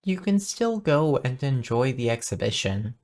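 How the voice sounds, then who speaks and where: Game streamer just vibing and commenting on gameplay, medium pitch, casual. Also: very animated, a little slow, darkish tone. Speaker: streamer playing a video game.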